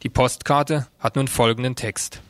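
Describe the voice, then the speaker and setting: A man's voice: mid-range voice, steady tone, a little slow, no echo. Man reading political radio news. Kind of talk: scripted news reading with some ad-libbing. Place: radio studio.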